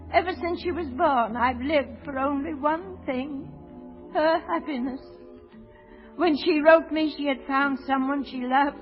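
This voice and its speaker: An elderly mother, devoted and anxious, pleads earnestly in vintage radio drama style. The recording is muffled, with music clearly behind her. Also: sits high. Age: older. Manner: earnest, halting, emotional pleading. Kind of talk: old-time radio drama acting.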